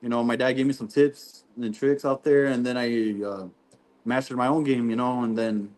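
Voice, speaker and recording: A man, a barbecue pitmaster guest, talking in a relaxed, rambling way and saying "you know what I mean" often. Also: mid-range voice, moderate pace, rough recording. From Texas. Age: 20s. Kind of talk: casual podcast interview.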